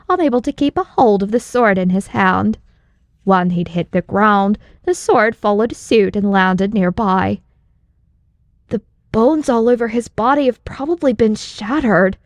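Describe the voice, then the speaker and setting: A woman's voice: medium pitch, no room sound, clean studio sound. A woman reading scripted story narration as an audiobook narrator.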